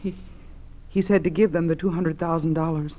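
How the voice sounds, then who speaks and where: A woman's voice: low voice, fairly even intonation, fairly quick, natural voice. On a dull old radio recording, an actress plays an anxious wife of a kidnapped man. The woman speaks hesitantly and pleadingly, in the earnest manner of 1940s radio drama.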